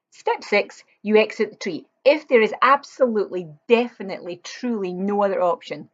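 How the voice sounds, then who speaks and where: A woman's voice: medium pitch, highs cut off. A woman reading a children's picture book aloud, expressively, with chatty explanatory asides to young listeners.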